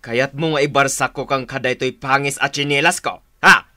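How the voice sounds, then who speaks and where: A surly, lazy drinker of a husband, grumbling in a bright, forceful voice. He speaks with an Ilocano accent in radio-drama style. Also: mid-range voice, steady tone, normal speed, no room sound. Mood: grumpy, irritable. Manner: grumbling, irritable.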